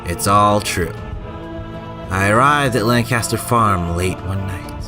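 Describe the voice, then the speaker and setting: A man's voice: on the low side, takes it slowly, music in the background. A man giving casual, chatty let's play commentary and reading game text aloud, stumbling now and then. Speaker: gaming channel host.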